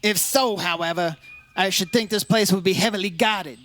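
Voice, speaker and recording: A man's voice: sits high, moderate pace, fairly bright tone. A man playing a straight-talking, inquisitive insurance investigator in an old-time radio detective drama, in plain, clipped phrases. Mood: probing, casual.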